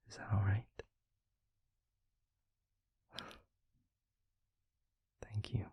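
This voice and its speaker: A man playing a tender, weary demon lover in an intimate audio roleplay, speaking softly and deliberately in a hushed, breathy, gravelly voice close to the microphone. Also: deep voice, no echo, exaggerated voice. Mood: affectionate, soothing. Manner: slow, deliberate, soft, wordy romantic phrasing. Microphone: close microphone, dry.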